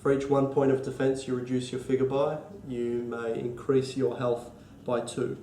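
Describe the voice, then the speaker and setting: An Australian man with a cold and a stuffy nose, explaining board game rules in a halting, casual way full of ums and restarts. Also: mid-range voice, monotone, dark tone, echoey room, slight background noise. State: congested from a cold. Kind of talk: casual tabletop rules walkthrough.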